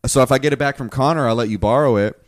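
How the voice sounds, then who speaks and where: A man's voice: mid-range voice, racing, dry recording, studio quality. A man in his twenties chatting casually, rambling and swearing, with lots of fillers. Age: 20s. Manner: rambling, profane, many fillers. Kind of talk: casual chat.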